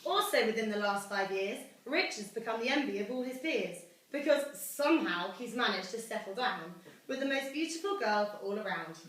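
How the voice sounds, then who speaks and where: A woman's voice: a little high, animated, a little fast. A young British woman reading a rhyming celebratory speech aloud to a gathering. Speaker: daughter reading her own celebratory poem. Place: echoey room at a family celebration. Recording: echoey room, distant mic, faint noise.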